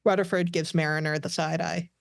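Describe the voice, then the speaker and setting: A woman's voice: low-pitched, flat delivery, brisk, vocal fry, dry recording. Woman narrating a script, close and dry. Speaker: narrator of stage directions. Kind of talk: scripted narration at a podcast table read.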